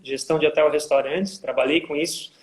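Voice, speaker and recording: A man talks in a bright, high register, explaining things patiently with plenty of fillers. His voice carries the boom of an echoey room, heard over a video call. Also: fairly even intonation. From Florianópolis, southern Brazil. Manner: measured, explains with many fillers. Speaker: guest, vacation rental manager. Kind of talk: casual live interview.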